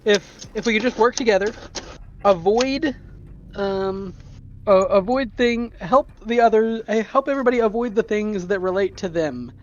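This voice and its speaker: A man playing a tabletop roleplaying game, casually deliberating with halting phrases. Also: high voice, a little hiss or hum. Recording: dull audio, faint music behind.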